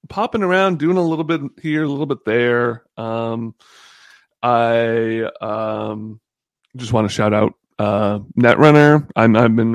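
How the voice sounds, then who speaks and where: A man in his thirties talks as a podcast guest in a loose, casual way. His voice has a creaky edge and sits at a middle pitch. He is halting and rambling, with a joking streak, and the sound is close and dry. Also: no room sound. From born in the Bahamas. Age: 30s. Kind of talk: casual chat.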